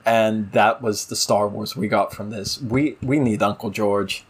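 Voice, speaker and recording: A man's voice: lowish voice, steady tone, a little slow, breathy edge. A man co-hosting a podcast, casually discussing television with opinionated, emphatic delivery. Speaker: podcast co-host.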